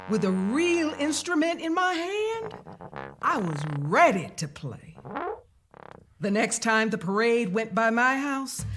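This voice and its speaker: A woman reading a children's story aloud in the first person, with deliberate, expressive storybook delivery. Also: a little high. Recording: music playing behind the voice. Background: music.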